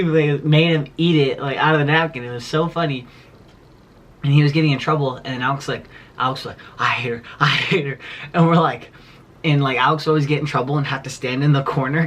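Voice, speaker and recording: A man's voice: mid-range voice, fairly bright tone, slight background noise. A man in his twenties telling a childhood story in a casual vlog, rambling with lots of fillers and laughing as he goes. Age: 20s. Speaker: vlogger.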